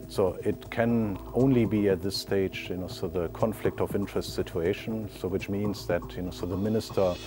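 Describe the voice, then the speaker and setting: A man's voice: sits low, steady tone, normal speed, hardly any pauses, over music. A man giving unscripted expert answers as a quiz contestant, hesitant and often inserting "you know, so" as he explains.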